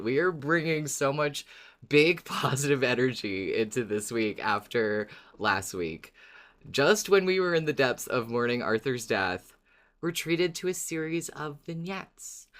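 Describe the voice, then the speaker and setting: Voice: mid-range voice, a bit bright. A podcast co-host recapping and chatting casually, with enthusiasm, playfulness and theatrical emphasis.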